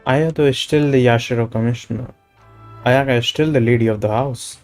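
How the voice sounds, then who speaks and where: A man's voice: medium pitch, a little fast, a little mellow. A man with an Indian accent streaming a game, reading dialogue aloud and adding casual comments. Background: game music.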